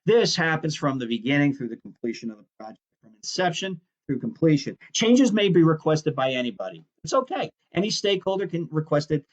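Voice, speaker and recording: A man's voice: a little high, very animated, brisk, few pauses, lo-fi sound. A man teaching a recorded lecture in a conversational, explanatory way, often stopping to correct himself mid-sentence. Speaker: instructor. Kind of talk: recorded lecture on project management.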